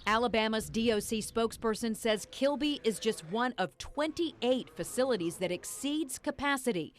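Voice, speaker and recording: A woman's voice: fairly high pitch, normal speed. A woman reporting the news, reading a scripted broadcast narration.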